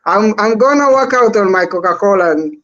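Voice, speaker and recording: A man's voice: high voice, animated, brisk, a bit bright, a little hiss or hum. A man with an East African accent chatting casually as a guest on a live stream, over a dull online call. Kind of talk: casual chat.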